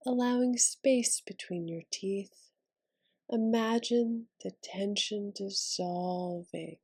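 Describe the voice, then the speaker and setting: A woman leading a guided meditation in a soothing, breathy, whispery voice, leaving long pauses between gentle instructions. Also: medium pitch.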